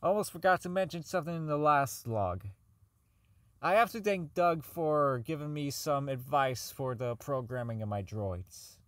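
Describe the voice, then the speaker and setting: A man playing a socially inept, bitter robotics engineer in an audio drama, rambling and stammering through profane log entries as he slowly comes unhinged. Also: fairly high pitch, expressive, no echo, close to an everyday voice. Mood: sardonic. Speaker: voice actor in a fan-made audio drama. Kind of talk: dramatic monologue as a recorded log.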